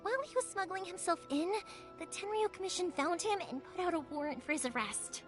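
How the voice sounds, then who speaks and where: A woman voicing a cheerful, warm-hearted young woman in a video game, chatty and casual, with music playing behind her. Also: extremely high pitch, animated, fairly quick. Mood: reassuring, matter-of-fact. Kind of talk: English video game character acting.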